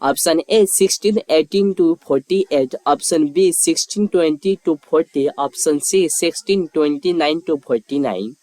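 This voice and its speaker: A quiz narrator with a medium-pitched voice reads from a script. The delivery is formal and measured, like announcing questions and choices. The sound is dry, with no room around it. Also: mellow, dry recording.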